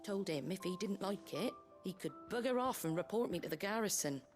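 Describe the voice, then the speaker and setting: An actress plays a tough but kindly British innkeeper in a fantasy game. She talks in an earthy, colloquial way with dry wit and a brisk edge. Her voice sits at a middling pitch, with music playing behind it. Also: animated, lightly acted. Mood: reflective, warm. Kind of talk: video game character dialogue.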